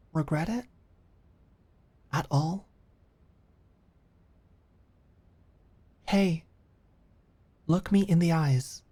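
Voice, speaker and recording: A woman playing an earnest, caring office manager in an intimate ASMR roleplay, speaking haltingly and with open emotion. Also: sits low, exaggerated voice. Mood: earnest, tense. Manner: halting, sighing, emotionally expressive.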